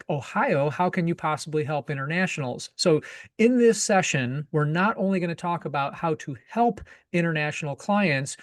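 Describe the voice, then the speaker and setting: A fairly high-voiced man hosts the show in an upbeat, promotional tone. His voice comes through dry and close, with no room sound. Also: no room sound. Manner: energetic, promotional. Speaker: podcast host, realtor. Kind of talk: upbeat show intro and interview.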